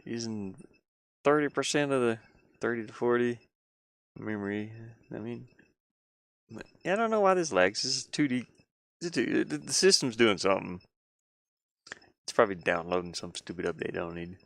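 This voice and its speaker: A man chatting casually on a live stream as he works on a game, halting and muttering his thoughts aloud. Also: medium pitch, vocal fry, a bit bright, no room sound, studio quality. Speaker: hobby game developer streaming his work. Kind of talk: casual live-stream chat while working.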